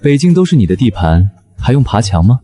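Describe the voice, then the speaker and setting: A man's voice: medium pitch, sing-song, a little fast, dark tone, lightly acted. A man playing a haughty court chancellor who is secretly a rabbit spirit, dryly teasing, in a fantasy costume drama.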